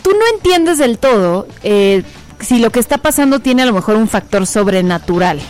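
A woman on a talk show, recommending a film in animated, casual chat. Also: a little high, soft background music. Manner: animated, quick to exclaim.

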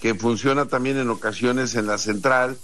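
A man with a Mexican accent giving conversational sports news commentary in a measured way, pausing between points. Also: medium pitch, steady tone, fairly bright tone. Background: music.

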